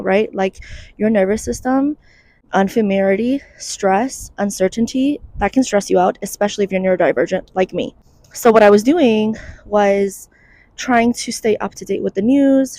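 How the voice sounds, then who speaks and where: A young woman of Iranian background talks straight to her viewers in a chatty, wry, candid way, sharing mental health tips. Her voice is mellow and darker, with a fairly flat, even delivery. It is recorded close and dry, with no room sound. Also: medium pitch, no echo. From Iranian diaspora. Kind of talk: casual advice to camera.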